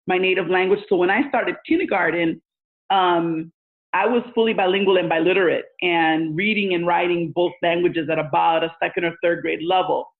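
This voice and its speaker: A woman's voice: fairly low pitch, fairly even intonation, normal speed. Woman on a tinny phone line. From United States. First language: Spanish. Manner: earnest, thoughtful, many fillers. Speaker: guest, education leader. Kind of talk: casual interview answers.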